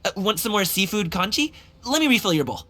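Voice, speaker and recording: A man's voice: high voice, sing-song, fast talker, bright, forceful tone, exaggerated voice. A man voicing a friendly, earnest young male dancer in a video game, speaking in an easygoing, casual way. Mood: friendly, hospitable. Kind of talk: video game character acting. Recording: faint game music behind the voice. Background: faint game music.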